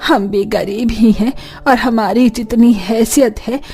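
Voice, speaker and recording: A woman voicing a calm old grandmother in a cartoon story, speaking slowly and reassuringly. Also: fairly high pitch, faint music behind, close to an everyday voice. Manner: slow, reassuring. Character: calm, reassuring elderly grandmother of a poor family.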